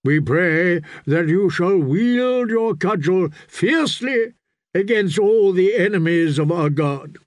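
Male narrator as stern prophet, fantasy audiobook, raised pitch, slow and solemn delivery, commanding. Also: expressive, no echo. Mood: solemn, fervent. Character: stern, fervent prophet priest of a sea god. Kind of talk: dramatic fantasy audiobook character voice.

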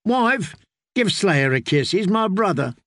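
A cocky young singer in his cups, as a male narrator plays him, pitched high for a man. He is boisterous and teasing, full of bravado, in the manner of a dramatic storybook reading, recorded dry and close. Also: sing-song, unhurried, fairly bright tone, no echo, full character voice. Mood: boastful, teasing.

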